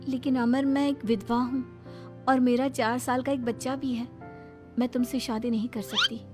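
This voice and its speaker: A woman voicing a meek, anxious young widow in a cartoon, with a soft, pleading manner. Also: high-pitched, steady tone, normal speed, over music, pitched above the performer's own voice, close to an everyday voice. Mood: hesitant, sad.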